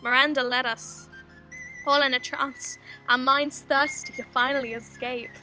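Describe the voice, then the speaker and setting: A woman's voice: high-pitched, steady tone, pushed and bright, faint music behind, full character voice. A young woman playing an intense, repressed aristocratic spinster haunted by her youth, in a theatrical confessional monologue. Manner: theatrical, confessional, deliberate phrasing. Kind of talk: dramatic monologue. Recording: tinny band-limited noisy amateur film sound.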